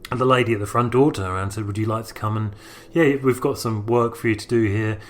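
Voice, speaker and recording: A man's voice: fairly low pitch, fairly even intonation, fast talker, slight background noise. A man with a London accent tells a first-person story in an informal, conversational way with colloquial British phrasing. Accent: London English. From North London, England. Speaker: storyteller, podcast producer.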